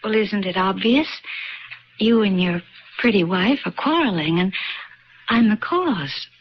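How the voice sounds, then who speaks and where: An actress playing a coy, teasing, flirtatious married neighbour in a radio drama, with playful and halting delivery. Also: female voice, medium pitch, lively intonation, natural voice. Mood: coy, seductive. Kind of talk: old radio drama acting. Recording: muffled old radio broadcast with hiss.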